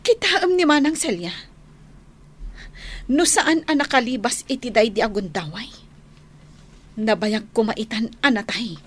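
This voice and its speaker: A woman's voice: on the high side, animated, a little slow, long pauses. An actress with an Ilocano accent playing a stubborn, wounded young woman in a radio drama, emphatic and melodramatic. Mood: resentful. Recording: slightly noisy AM radio.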